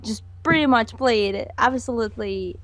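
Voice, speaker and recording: A young woman giving a casual interview over a voice call as an animator. She talks in halting bursts and laughs often. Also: on the high side. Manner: giggly, halting, speaks in bursts. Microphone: computer mic on a voice call.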